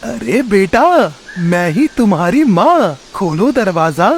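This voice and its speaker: A man's voice: high voice, very animated, a little hiss or hum, heavily acted. A man voicing a sly, greedy villain tomcat in a children's cartoon story, theatrical and scheming. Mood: coaxing. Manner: theatrical, drawn-out scheming delivery.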